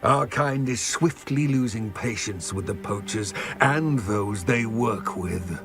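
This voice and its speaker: A man's voice: on the low side, over music. An actor playing a proud, wary male creature guardian who speaks sternly and with menace. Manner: stern, slow and threatening. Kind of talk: video game voice acting.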